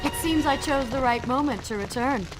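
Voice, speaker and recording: An actress playing a cool, self-assured female warrior in a video game, confident and brisk. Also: sits high, close to an everyday voice, low-quality recording. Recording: loud game music behind.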